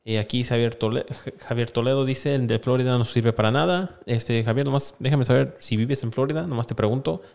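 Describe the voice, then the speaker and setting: A man in his thirties presents a casual news explainer in a mid-pitched voice. He speaks close to a desk microphone, reading aloud and then restating points in a slightly halting way. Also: creaky, darkish tone. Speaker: YouTube host. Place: small indoor room with plain wall. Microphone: desk microphone close in front of him.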